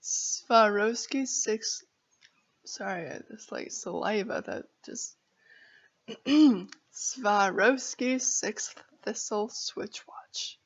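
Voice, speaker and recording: An American woman vlogger from Arizona with a stutter, chatting casually to camera in a halting, apologetic, giggly way. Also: medium pitch, rough recording. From Arizona, United States.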